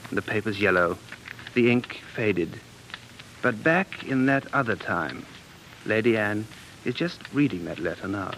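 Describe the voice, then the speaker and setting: A man's voice: fairly low pitch, animated, a little slow, very noisy. An actor playing an earnest, dreamy man who is obsessed with the past, narrating intensely in 1940s radio drama style. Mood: hushed, suspenseful. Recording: vintage 1940s radio broadcast with music.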